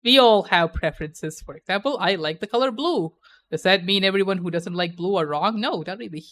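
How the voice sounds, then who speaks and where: A woman's voice: mid-range voice, brisk, a bit bright. A young adult woman chatting casually on a stream, playful and opinionated, laughing often.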